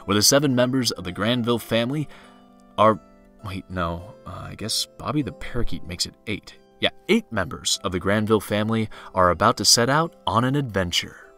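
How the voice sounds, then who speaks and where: A man's voice: fairly low pitch, brisk, pushed and bright, faint music behind. A man announcing in the showy, presentational style of an old-time radio show host.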